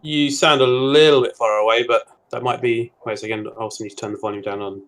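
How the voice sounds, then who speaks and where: A man with a loud voice chatting casually on a stream, quick to laugh. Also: mid-range voice, fairly even intonation. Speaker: stream co-host. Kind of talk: casual chat.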